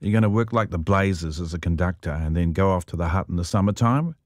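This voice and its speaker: A man conducting a relaxed interview, asking curious, chatty questions. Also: deep voice, fast talker, no room sound, studio quality. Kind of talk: conversational interview.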